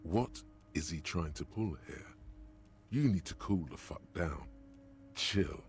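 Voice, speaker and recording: A man's voice: low voice, animated, slow pace, faint music behind, heavily acted. A man voicing the grave, taunting inner voice of a detective's mind in a video game.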